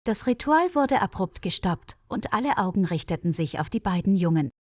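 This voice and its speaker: A woman's voice: medium pitch, very animated, moderate pace, no room sound. Woman storyteller, dry close studio sound. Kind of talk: scripted narration of a mystery story for German learners.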